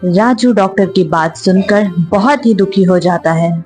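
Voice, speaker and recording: A woman's voice: medium pitch, measured pace, a little mellow, soft background music. A woman narrator reading a scripted story for children.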